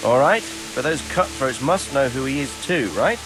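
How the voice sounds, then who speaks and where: An actor playing a composed, commanding nobleman in a video game, measured and to the point. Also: mid-range voice, lively intonation, over music, natural voice, rough recording. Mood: composed, probing. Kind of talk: video game cutscene acting.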